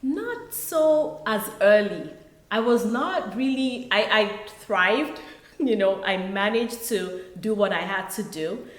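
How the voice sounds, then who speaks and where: A middle-aged woman from Nigeria, an author and pharmacist, telling her life story as an interview guest in a relaxed, reflective way. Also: mid-range voice, slow pace. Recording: echoey room.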